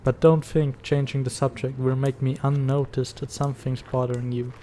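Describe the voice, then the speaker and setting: A man's voice: mid-range voice, level tone, normal speed, warm tone, a little hiss or hum, natural voice. A man playing a snarky, laid-back but caring teenage schoolboy in a comic fan dub, with a dry, sarcastic delivery. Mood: concerned, challenging.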